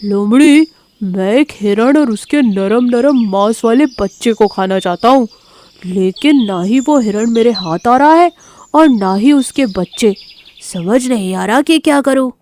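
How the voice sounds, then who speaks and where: Woman playing a cartoon lion king, grumbling, hungry, sulky, highish voice, faint noise and birdsong. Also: animated, unhurried, darkish tone. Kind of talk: animated moral story voice acting.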